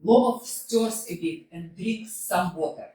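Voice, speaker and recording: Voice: mid-range voice, unhurried, mellow. A narrator reading the stage directions aloud in a plain, matter-of-fact way. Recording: echoey hall from far off.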